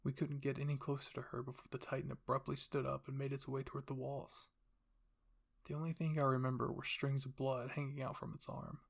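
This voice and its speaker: A man's voice: mid-range voice, little pitch movement, brisk, vocal fry. A man voicing a cold, scheming royal father who commands and explains without remorse in an anime fan fiction reading. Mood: recounting.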